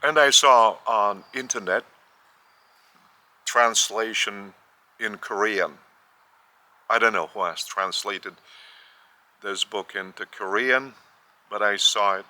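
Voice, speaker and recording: A man with a Lithuanian accent teaches in a very slow, measured way, often repeating and correcting himself. His voice sits a little low in pitch but sounds strikingly bright and forceful. He speaks English as a second language. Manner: measured, with repetitions and careful restarts. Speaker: spiritual teacher.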